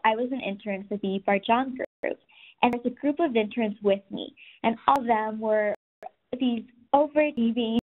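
A soft-spoken woman in her twenties giving a scripted presentation, telling stories and speaking directly to listeners. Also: on the high side. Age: 20s. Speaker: webinar presenter. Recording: tinny phone-like audio.

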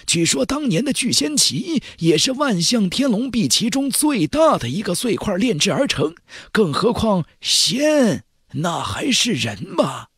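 A man voicing an old Taoist sage in an audiobook, a knowing, slightly scornful mentor who speaks in drawn-out, deliberate phrases. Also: sits high, big pitch swings, fairly bright tone, breathy edge, no echo, studio quality, pitched above the performer's own voice, full character voice. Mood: musing, dismissive. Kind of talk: audiobook character voice.

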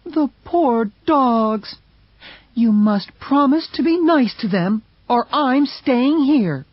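A man voicing a fussy, tender-hearted young woman in a read-aloud story, speaking high and fretful. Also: expressive, takes it slowly, breathy edge, no room sound, highs cut off, exaggerated voice. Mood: distressed.

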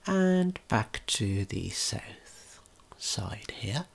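A man giving a gentle, unhurried ASMR tour of a video game in a breathy, whispered, soft-spoken voice. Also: fairly low pitch, big pitch swings, slight background noise. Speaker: ASMR creator and tour guide.

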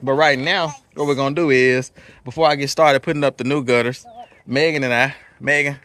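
A man's voice: mid-range voice, steady tone, fairly quick, a bit bright. A man, hosting a casual outdoor vlog in a rural yard, rambling easily with fillers into a phone held at arm's length. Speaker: vlog host. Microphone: phone camera at arm's length.

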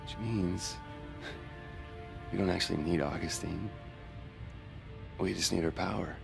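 An actor playing a cocky, headstrong young American rebel in a video game, talking in casual, brash slang. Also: male voice, sits low, unhurried, vocal fry, over music, exaggerated voice. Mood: determined. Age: young adult. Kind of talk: naturalistic video game acting.

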